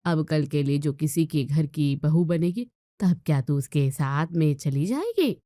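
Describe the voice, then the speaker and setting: A gossipy middle-aged neighbour woman from a village-style tale, put on by a woman narrator. She is nosy and critical, needling in a fairly low, mellow voice. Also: expressive, measured pace, dry recording, clean studio sound.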